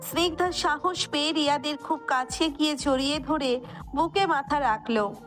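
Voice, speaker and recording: A woman's voice: high voice, moderate pace, a bit bright, faint music behind. A woman narrator reading a scripted romantic story.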